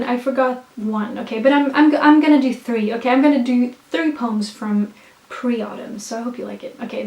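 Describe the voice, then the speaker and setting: A woman's voice: on the high side, a little mellow. Swedish-accented young woman, hyper and rambling. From Sweden. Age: young adult. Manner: hyper, self-mocking, many fillers and restarts. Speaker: vlogger reading her own poetry. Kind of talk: rambling casual chat and slow poetry reading. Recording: echoey room, voice a bit far from the mic.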